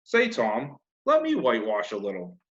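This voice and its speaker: A man's voice: medium pitch, wildly swooping pitch, moderate pace, muffled recording. A man reading a children's classic, voicing a boastful, folksy young boy who barks orders and teases. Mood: eager, pleading. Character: boastful young boy playing a steamboat captain.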